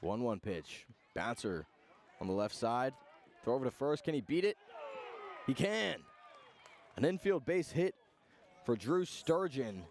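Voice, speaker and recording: A man doing live radio play-by-play of a baseball game in clipped phrases, with pauses between calls. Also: mid-range voice, a little mellow. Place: outdoor ballpark broadcast.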